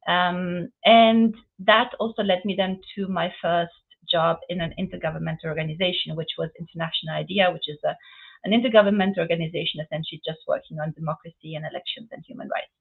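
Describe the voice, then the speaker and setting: Woman, forties, gravelly voice, earnest, filler-heavy, tinny line. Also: medium pitch. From Romania. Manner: earnest, fluent with many fillers. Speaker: panelist, UN office chief. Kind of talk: webinar panel talk. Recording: phone-like narrow line.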